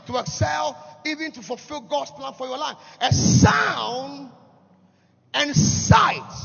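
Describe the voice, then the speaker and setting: A man with a Ghanaian accent preaching a sermon, shouting in sing-song, repeating rising phrases in quick bursts. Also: very high voice, creaky. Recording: dull lo-fi sound with long hall reverb.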